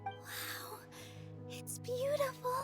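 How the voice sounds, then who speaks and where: A woman voicing a kind, modest young theater dancer in a video game, gentle and polite. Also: extremely high pitch, flat delivery, extremely slow, full character voice. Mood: friendly. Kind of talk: scripted video game voice acting. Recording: music playing behind the voice.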